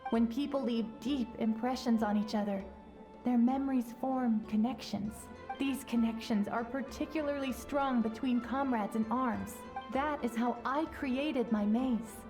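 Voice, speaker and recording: Composed female spirit, acted by a woman, fairly high voice, wise, gently explanatory, video game drama, music behind. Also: a little slow, natural voice. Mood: patient, solemn. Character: calm female warrior spirit, a wise memory fragment. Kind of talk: video game character acting.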